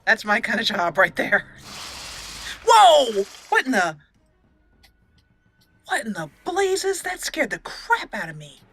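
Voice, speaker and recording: Bright-voiced woman playfully commentating a game. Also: fairly high pitch, big pitch swings, slow pace, long pauses, breathy edge. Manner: playful, chatty, exclaims and talks to the game characters. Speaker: gaming channel host. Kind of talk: casual let's play commentary.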